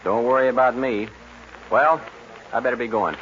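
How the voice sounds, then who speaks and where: An actor playing a brash, confident young stagecoach guard in a 1940s radio western. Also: male voice, mid-range voice, normal speed, a bit bright, slight background noise, natural voice. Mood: confident, unworried. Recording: muffled 1940s radio recording.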